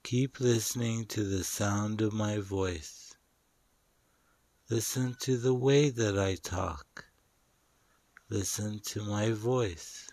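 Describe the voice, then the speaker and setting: A man guiding a meditation in short, deliberate phrases with long pauses between them. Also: fairly low pitch, a little mellow, no echo. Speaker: meditation guide. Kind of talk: guided meditation narration.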